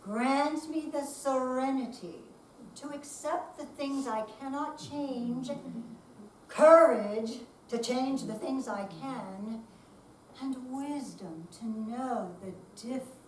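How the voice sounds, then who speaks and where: A woman's voice: sits high, takes it slowly. A woman playing a stubborn, sarcastic retired woman in a live readers theater piece, in an echoey room. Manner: sarcastic, grumbling. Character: feisty, stubborn, grumbling retired independent woman. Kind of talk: readers theater dramatic reading. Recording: echoey room, distant mic, faint noise.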